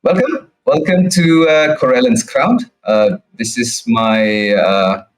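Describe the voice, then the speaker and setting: A man voicing an earnest, fussy herbalist shopkeeper and zealous protester in a tabletop game, speaking in a halting, plaintive way. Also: mid-range voice, steady tone, natural voice. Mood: welcoming.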